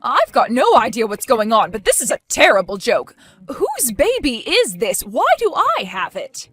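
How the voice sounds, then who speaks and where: A woman's voice: on the high side, sing-song, normal speed, fairly bright tone, no echo, soft background music. A woman playing a furious scolding older woman. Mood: indignant. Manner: accusing, scolding. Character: furious indignant older woman caring for a baby.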